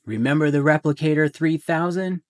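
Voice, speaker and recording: A man reading a children's picture book aloud in a theatrical way, with deliberate pauses and emphasis. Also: fairly high pitch, darkish tone, a little hiss or hum. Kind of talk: dramatic children's storybook narration.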